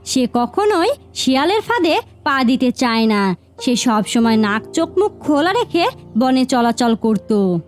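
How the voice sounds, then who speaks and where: A woman narrating a scripted fairy tale as an expressive storyteller. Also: high-pitched.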